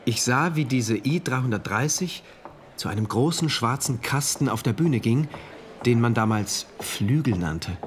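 An actor playing an earnest, devout citizen of a regimented future state, narrating his diary in a measured, confiding and precise way. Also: mid-range voice, animated, slight background noise, lightly acted. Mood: fascinated, uneasy. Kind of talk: first-person radio drama narration, diary entries.

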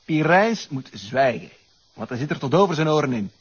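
Shady Flemish accomplice, animated and husky. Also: male voice, fairly high pitch, moderate pace. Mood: tense, assured. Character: shady, needling male accomplice in a crime drama. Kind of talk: radio drama acting. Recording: dull lo-fi radio drama.

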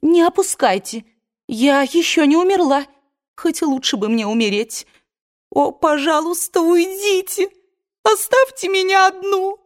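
A woman's voice: animated, unhurried, a little mellow. A woman reading an audiobook voices a willful, spoiled young woman who pleads and bursts out in tearful anger. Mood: furious sobbing. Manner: pleading, rising to shrill outbursts.

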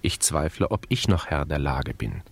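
A man's voice: rumbling low, expressive, moderate pace, husky, no room sound, exaggerated voice. A man acting in a radio play as a worried grown grandson, patiently and earnestly coaxing his grandfather.

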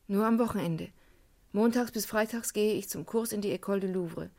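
An actress playing a guarded, reserved student under questioning in a German radio crime play, answering tersely. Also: female voice, medium pitch, a little fast, mellow, lightly acted. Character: guarded female art history student and nightclub cloakroom worker.

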